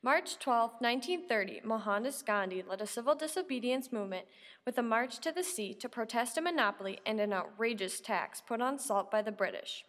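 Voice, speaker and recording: A woman's voice: on the high side, a little fast, a bit bright, lightly acted. A woman playing a 1930s radio news reporter, reading scripted bulletins. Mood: earnest. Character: 1930s radio newswoman reporting national, sports, world news. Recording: reverberant room, faint background noise.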